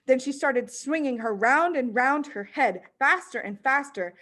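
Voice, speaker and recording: A woman narrates a children's story in a fairly high voice. Her reading is expressive, in the manner of a storyteller reading a book aloud. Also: unhurried. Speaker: audiobook reader.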